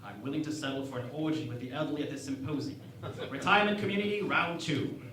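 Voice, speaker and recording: A man's voice: medium pitch, fast talker, fairly bright tone, reverberant, slight background noise, natural voice, rough recording. A man playing a petulant, lecherous starship captain in a parody sci-fi radio play, theatrical and childishly pleading. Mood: smug, dry.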